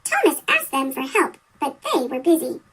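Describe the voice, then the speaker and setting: A children's story reader with a pitch-shifted chipmunk voice, reading a picture book aloud from a script. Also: huge pitch swings, normal speed, fairly bright tone, a little hiss or hum. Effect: sped-up chipmunk pitch shift.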